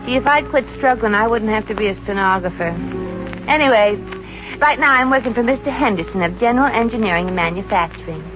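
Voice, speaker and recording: An American actress playing a wisecracking Brooklyn showgirl working as a stenographer in a 1950s radio comedy, with a wry, deadpan delivery. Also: fairly high pitch, fast talker, natural voice. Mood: wry narration. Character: wisecracking Brooklyn showgirl turned office stenographer, brassy and unfazed. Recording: dull vintage radio recording with music.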